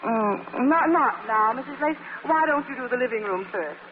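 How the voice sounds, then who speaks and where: An actress playing a brisk, poised career woman who manages everyone, in 1940s radio comedy style. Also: fairly high pitch, animated, big-room sound, lightly acted. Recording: noisy, hollow, narrow-band old radio broadcast.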